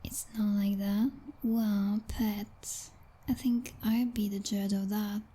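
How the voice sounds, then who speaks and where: A woman's voice: medium pitch, flat delivery, slow pace, warm tone, slightly rough. A woman playing a jealous, possessive vampire girlfriend in an ASMR roleplay, whispering breathily in a teasing, commanding and condescending way. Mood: jealous, scornful.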